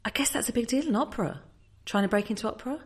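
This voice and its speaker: A woman's voice: a little high, racing, husky. A woman interviewing a guest, asking curious, playful questions in a casual chat.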